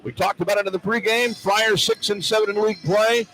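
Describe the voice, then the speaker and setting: A man calling a live basketball broadcast as the play-by-play announcer, with polished, measured delivery. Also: high voice, fairly even intonation, a bit bright, a little hiss or hum, rough recording. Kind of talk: live sports broadcast commentary. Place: sports arena broadcast position.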